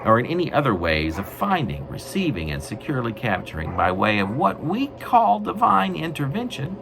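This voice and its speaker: A man reading his own poetry in a wry, mock-grandiose way, with deliberate, literary phrasing. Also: medium pitch, huge pitch swings, creaky. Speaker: poet reading own work. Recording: noisy amateur recording.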